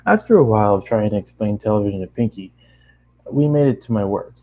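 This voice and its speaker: A man's voice: lowish voice, fast talker, dark tone, highs cut off. A man narrating a story informally as an amateur reader, often stumbling over words and starting lines again. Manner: informal, stumbling over the text and correcting himself. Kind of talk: read-aloud fan fiction narration.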